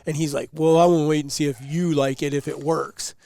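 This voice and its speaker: A man's voice: a little high, little pitch movement, brisk, creaky, warm tone, dry recording. Nasal man with a cold, chatty host. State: slightly nasal from a runny nose. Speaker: podcast co-host. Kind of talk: casual podcast chat.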